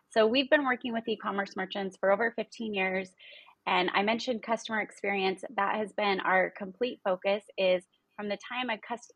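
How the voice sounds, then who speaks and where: Interview guest: woman, thirties, businesslike and explanatory, gravelly edge, very little room sound. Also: mid-range voice, a bit bright, no room sound, rough recording. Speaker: interview guest, logistics partnerships executive. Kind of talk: conversational interview answers. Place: indoor room, video call. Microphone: video call, close framing.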